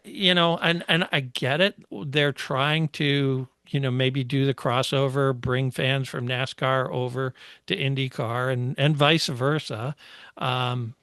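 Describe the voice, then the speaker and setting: A man co-hosting a podcast, chatting casually about motor racing, explaining history at a measured pace with many fillers. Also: mid-range voice, steady tone, fairly bright tone, smooth, no echo, studio quality. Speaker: podcast co-host.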